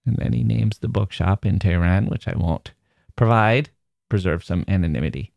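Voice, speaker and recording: A man hosting a literature podcast, chatting casually and reading out a listener's email, self-deprecating and quick to chuckle. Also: low-pitched, gravelly, a little mellow, no echo, dull audio. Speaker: podcast host.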